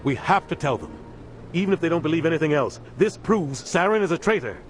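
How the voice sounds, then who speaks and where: A man's voice: fairly high pitch, expressive, brisk, faint music behind, close to an everyday voice. A man playing an earnest, authoritative military captain in a video game. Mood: urgent.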